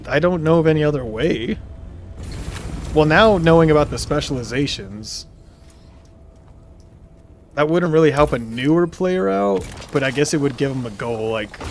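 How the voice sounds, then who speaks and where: A man's voice: mid-range voice, moderate pace, long pauses, a little hiss or hum. A man in his thirties streaming a game live, chatting casually in a rambling way and answering viewers' chat as he plays. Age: 30s.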